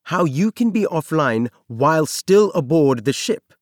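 A man's voice: fairly high pitch, lively intonation, unhurried, no echo, pitched above the performer's own voice. Man acting older male engineer, stern, pedantic, condescending, demanding, audiobook character, dry close sound.

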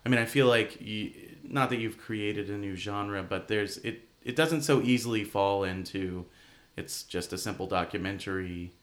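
A man's voice: lowish voice, normal speed. A man hosting an arts interview in a conversational style, thinking through his questions aloud. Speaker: host interviewer.

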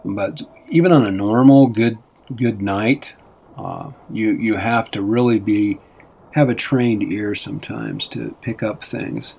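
A man's voice: fairly low pitch, takes it slowly, creaky, darkish tone, breathy edge. A man co-hosting a radio show, chatting in a loose, rambling way with lots of fillers and restarts. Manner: meandering, many fillers and restarts. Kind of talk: rambling casual radio chat.

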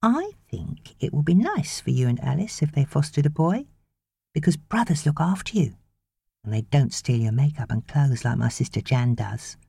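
A woman's voice: sits low, sing-song, moderate pace, vocal fry, warm tone, breathy edge, no echo, exaggerated voice. A woman voicing a chatty, caring teenage girl babysitter who gets a little exasperated, in an audiobook story.

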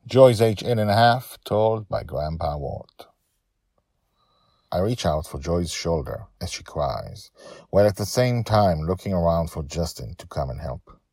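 A man's voice: deep voice, darkish tone, slightly rough, dry recording, studio quality. A man hosting a storytelling podcast, talking and narrating in an emphatic way that repeats phrases for emphasis. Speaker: podcast host and author-narrator.

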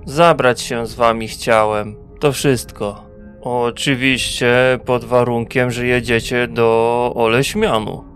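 A man playing a wary, self-assured wandering traveller in a fantasy audio drama, with a measured, dry delivery. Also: mid-range voice, fairly even intonation, fairly bright tone, soft background music. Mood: calm, sly.

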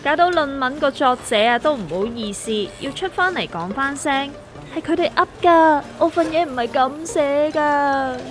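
A woman's voice: high voice, normal speed, natural voice. A woman with a Hong Kong Cantonese accent playing a sardonic know-it-all investment master in a scripted comic radio play. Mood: mocking. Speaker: voice actor in a radio drama. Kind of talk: scripted comedic dialogue. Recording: music under the voice.

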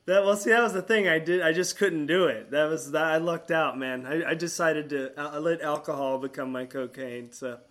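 American guy, sharp bright voice, a bit high, casual host asking stuff, repeats himself and cusses. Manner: halting, repeats phrases, profane. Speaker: host interviewing his friend. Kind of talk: casual chat.

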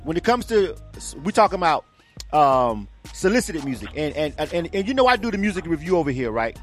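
A man's voice: a little high, sing-song, brisk, faint music behind. A man co-hosting a radio call show, talking in a casual, emphatic, slangy way with repeated words and free swearing. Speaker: radio program director, co-host.

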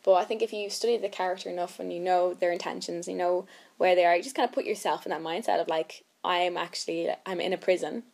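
A woman's voice: lowish voice, fairly even intonation, fast talker, gravelly, a little mellow. Young Irish woman in casual interview. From Ireland. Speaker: actress, interview guest.

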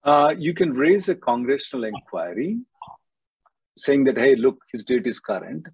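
A man's voice: medium pitch, animated, moderate pace, darkish tone, breathy edge, dry recording, poor audio. A man hosting a live call-in advice show, giving blunt, short, repeated answers to callers. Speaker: immigration advice show host.

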